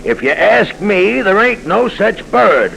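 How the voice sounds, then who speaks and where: A man's voice: sits high, big pitch swings, slow, vocal fry, fairly bright tone, heavily acted. An actor playing a brash, cynical American insurance man in 1940s radio style, slangy and blunt. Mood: confiding, cynical. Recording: faintly noisy 1940s radio recording.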